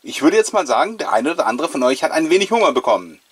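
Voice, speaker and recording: A man's voice: on the high side, very animated, fast, a little hiss or hum. A man, giving chatty, playful, nostalgic commentary as the host of a casual video show. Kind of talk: casual, jokey commentary on an old mail-order catalogue.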